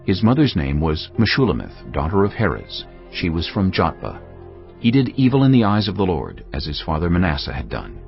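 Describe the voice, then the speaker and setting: A man narrating scripture in a measured, solemn storytelling voice. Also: sits low, sing-song, gravelly. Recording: dull lo-fi audio over faint music.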